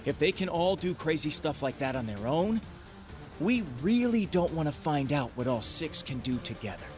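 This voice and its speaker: An actor playing a cocky, earnest young space-hero leader in a video game, with emphatic delivery. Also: male voice, fairly high pitch, very animated, moderate pace, music in the background. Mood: rousing. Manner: emphatic, speechifying. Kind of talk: video game cutscene acting.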